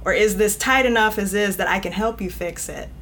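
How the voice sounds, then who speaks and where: A woman's voice: mid-range voice, a little fast, slight background noise. Chatty woman editor, laughs easily. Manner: animated, laughs often, frequent "you know". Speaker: guest editor. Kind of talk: conversational interview.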